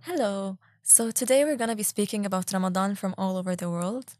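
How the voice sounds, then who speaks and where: A woman's voice: mid-range voice, fairly even intonation, a little fast, darkish tone, soft background music. A young woman from Egypt hosting a student discussion, asking questions in a friendly way with frequent filler words. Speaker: student host.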